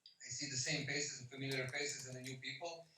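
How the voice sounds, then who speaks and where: A man with a Serbian accent speaks haltingly, often repeating phrases. His voice is bright and mid-pitched, and it comes through very echoey, away from the mic. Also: steady tone, slight background noise. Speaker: team call participant. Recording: echoey, distant online call audio.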